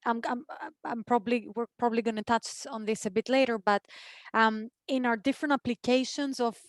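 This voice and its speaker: A woman with a smooth, clear voice speaking in a dry, close video call. She is a nonprofit co-founder who talks thoughtfully and restarts her phrases while she works out a point. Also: a little high, steady tone, a little fast, no echo. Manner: thoughtful, repeats words while framing a point. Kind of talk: webinar conversation.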